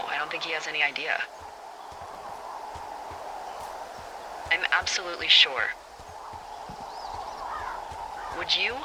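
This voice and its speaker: A woman's voice: low voice, very noisy. An actress playing a breezy, wry American woman in a video game, chatting conversationally over a handheld radio. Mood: guarded, businesslike. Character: breezy, wry, friendly woman fire lookout supervisor.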